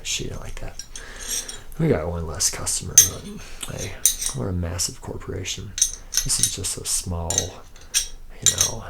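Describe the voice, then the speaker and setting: A man's voice: deep voice, lively intonation, a little slow, gravelly, pauses often, exaggerated voice. A man playing a jaded, boastful lead robot mechanic in a rambling, drawling ASMR roleplay monologue. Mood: wry, casual. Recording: noisy close recording with clinking metal.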